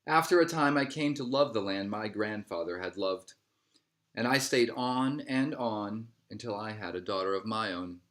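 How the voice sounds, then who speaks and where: Low-voiced man reading a storybook aloud. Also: moderate pace, a bit bright. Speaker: storybook reader. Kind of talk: scripted read-aloud narration of a picture book.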